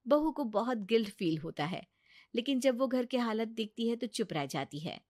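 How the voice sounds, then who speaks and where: A woman narrating a cartoon story in an even storytelling manner. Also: on the high side, moderate pace, no echo, clean studio sound.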